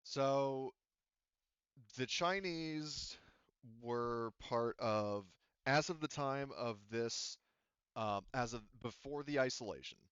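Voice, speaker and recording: A man running a tabletop roleplaying game off the cuff as game master, rambling and halting with frequent restarts and asides. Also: mid-range voice, fairly bright tone, clean tone, no echo, dull audio.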